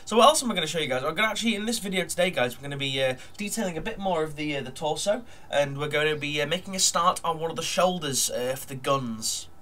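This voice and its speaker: A man's voice: on the high side, expressive, fast talker, hardly any pauses, sharp tone, a little hiss or hum. A man with a nasal, blocked-up voice from a cold, chatting casually at his desk while narrating a model build, full of ums and uhs. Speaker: hobby model builder vlogger.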